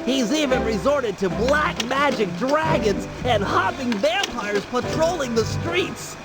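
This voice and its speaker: A nerdy little male animal strategist lays things out precisely and earnestly. His voice sits remarkably high for a man, bookish and video-game cartoony, over prominent music. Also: expressive, a little slow. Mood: determined briefing. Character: brainy, earnest male animal sidekick who plans the heists. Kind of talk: video game character acting.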